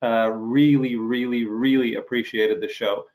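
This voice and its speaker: A middle-aged man from Ukraine hosting a casual interview over a video call, talking haltingly with many fillers and restarts. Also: medium pitch, flat delivery, darkish tone, rough recording. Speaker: interview host.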